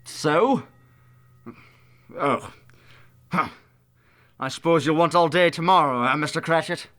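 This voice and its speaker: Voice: medium pitch, takes it slowly, fairly bright tone. An actor playing a miserly, cold, scornful old man in an old-time radio play, curt and grumbling. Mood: grumpy, indignant.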